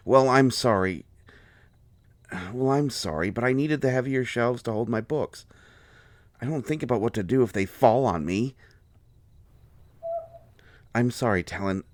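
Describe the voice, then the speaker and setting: A man playing an injured bookish fellow in an audio roleplay, cranky yet gentle, with halting, fussy wording and a pained delivery. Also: medium pitch, no room sound, lightly acted. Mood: cranky, strained.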